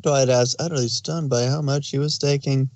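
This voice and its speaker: A man's voice: mid-range voice, fairly even intonation, brisk, a little mellow, no room sound. A man narrating a fan fiction story, reading it aloud.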